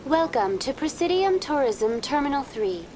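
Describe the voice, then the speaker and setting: A woman playing a polite, unflappable virtual tour guide program in a video game, speaking in a formal, measured, impersonal way. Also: sits high, very animated, a little mellow, a little hiss or hum. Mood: politely informative. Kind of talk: scripted informational narration in a video game.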